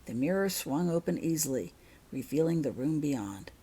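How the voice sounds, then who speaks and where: A woman's voice: sits low, expressive, moderate pace, dark tone, breathy edge. A woman reading her own fiction aloud as an audiobook-style story narration.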